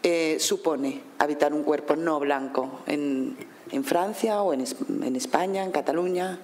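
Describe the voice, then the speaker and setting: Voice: medium pitch, measured pace, darkish tone, a little hiss or hum. A panel moderator posing probing questions in a loose, searching way, rephrasing as they go. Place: conference hall. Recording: long hall reverb.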